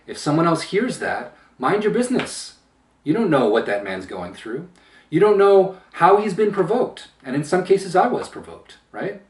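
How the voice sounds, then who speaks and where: A man giving an emphatic opinion monologue as a channel host, with a powerful voice that swells louder and slow, deliberate phrasing with pauses. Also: fairly high pitch, very animated. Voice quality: powerful voice that gets loud when upset. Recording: roomy echo, distant from mic.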